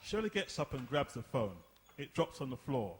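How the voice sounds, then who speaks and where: A man's voice: medium pitch, sing-song, normal speed, a little hiss or hum. A man narrating a scripted drama, reading out the stage directions.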